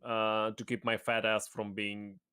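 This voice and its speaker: A man's voice: fairly low pitch, little pitch movement, a little slow, bright, forceful tone, clean tone. A man as guest in a casual debate chat, rambling with restarts, laughing and swearing casually.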